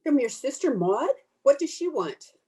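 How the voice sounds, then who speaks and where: Blunt, disapproving cousin, animated mellow voice, cutting radio-drama delivery, female actor. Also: high voice, normal speed. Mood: scornful. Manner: prim, cutting. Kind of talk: old-time radio drama acting. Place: at home, recorded over video call.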